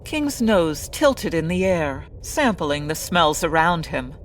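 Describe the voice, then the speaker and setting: A somewhat low female voice with faint noise behind it. It is a grandiose, pompous radio-serial narrator, earnest and measured, with very lively dramatic intonation. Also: heavily acted. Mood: grand, earnest.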